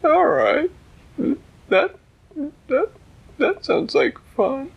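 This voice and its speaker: High-voiced, tearful, timid bear. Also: male voice, sing-song, drawn-out words, creaky, lo-fi sound, full character voice. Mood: timid, reluctant. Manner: stammering, tearful, reluctant. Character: timid, whimpering bear, easily scared.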